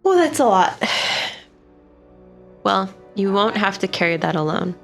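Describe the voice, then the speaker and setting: Woman, game player, very lively intonation, medium pitch, casual roleplay banter over faint music. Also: measured pace, gravelly.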